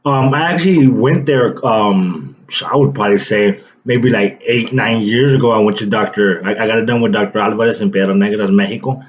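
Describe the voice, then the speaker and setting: A man's voice: fairly low pitch, fairly quick, few pauses. Rambling young man, dull lo-fi audio. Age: 20s. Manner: rambling, loose, lots of fillers and restarts. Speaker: vlogger. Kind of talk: casual personal story to camera.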